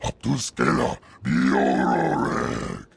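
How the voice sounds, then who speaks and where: Gravelly, menacing alien gangster. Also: male voice, extremely low pitch, very animated, takes it slowly, a little hiss or hum, heavily acted. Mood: angry, threatening. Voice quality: gravelly, guttural. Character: menacing, gruff male alien gangster speaking an invented tongue. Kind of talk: video game creature acting.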